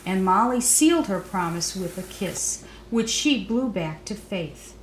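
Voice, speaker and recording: An older woman reads a storybook aloud to children and comments as she goes. Her voice sits at a middle pitch. The room is echoey, with faint noise in the background. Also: lively intonation, unhurried. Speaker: author and storyteller.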